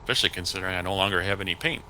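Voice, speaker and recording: A man's voice: deep voice, rapid-fire, sharp tone, a little hiss or hum. A man in casual chat, teasing and joking. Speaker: livestream guest.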